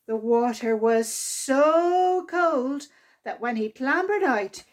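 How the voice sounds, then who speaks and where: A woman reading a picture book aloud to young children in an animated, expressive storytime manner. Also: high-pitched. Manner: expressive, with exclaimed sound effects and asides to listeners. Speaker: library storytime reader.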